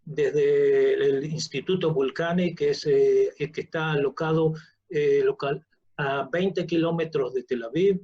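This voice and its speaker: An older man giving an online scientific lecture, halting and often repeating his words over a video call. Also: on the high side, mellow, slightly rough, dull audio. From Uruguay. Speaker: professor and researcher, lecturer.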